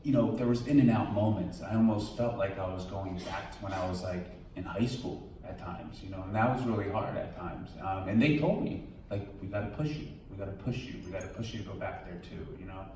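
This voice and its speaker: A man's voice: sits low, a little fast, few pauses, warm tone, slight background noise. A man answering questions at a film premiere Q&A, talking candidly and casually and often quoting his own thoughts. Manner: candid, casual, quotes himself. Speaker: documentary subject. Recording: echoey hall, from far off.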